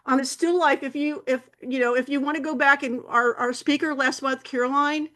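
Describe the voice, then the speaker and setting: A woman's voice: sits high, steady tone, fairly quick, sharp tone. A woman hosting a camera club meeting, giving announcements in a rambling way with many fillers and restarts.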